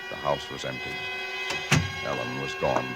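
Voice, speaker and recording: An actor playing a frightened man waiting alone in an empty house, telling his story in a hushed, haunted voice. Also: very deep voice, little pitch movement, normal speed, vocal fry. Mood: dread. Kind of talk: 1940s radio drama narration. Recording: 1940s radio broadcast with loud music. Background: music score.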